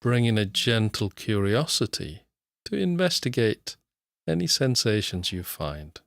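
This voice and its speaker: A man with a fairly low voice guides a meditation in a dry recording with very little room sound. He speaks in short, measured instructional phrases. He leaves long, unhurried pauses between them. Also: creaky, dry recording. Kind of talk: guided body scan meditation, slow instructional narration.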